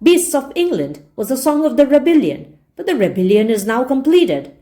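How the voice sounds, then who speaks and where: A woman's voice: high voice, very animated, normal speed, dark tone, pitched above the performer's own voice. A woman voicing an officious male propagandist pig in a storybook, stiff, declamatory and self-important. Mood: stiff, declaring.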